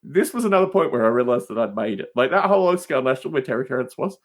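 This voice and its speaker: A man's voice: mid-range voice, lively intonation, rapid-fire. A man chatting casually as a podcast guest, telling digressive anecdotes with emphatic asides.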